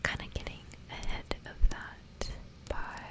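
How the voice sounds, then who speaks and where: A woman hosting ASMR in a breathy whisper, chatting casually and reading from a book. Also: a little slow. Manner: casual, rambling. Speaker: ASMR host.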